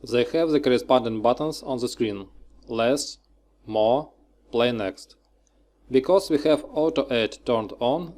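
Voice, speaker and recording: A man narrating a scripted software tutorial, giving short, clipped step-by-step instructions. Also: mid-range voice.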